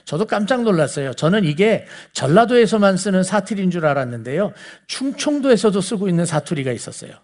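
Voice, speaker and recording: A man with a high voice and a Seoul accent teaches like a preacher talking to his congregation. He explains step by step in a conversational way, often turning to his listeners with rhetorical questions. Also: big pitch swings, fast talker, hardly any pauses, a little mellow. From South Korea. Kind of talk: conversational sermon lecture to a congregation.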